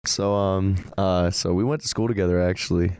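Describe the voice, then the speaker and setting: A man's voice: sits low, fairly even intonation, moderate pace, darkish tone, clear, resonant tone, no echo, clean studio sound. A young man, a musician guest, chatting in a laid-back way on a podcast. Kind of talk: casual podcast chat. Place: podcast studio.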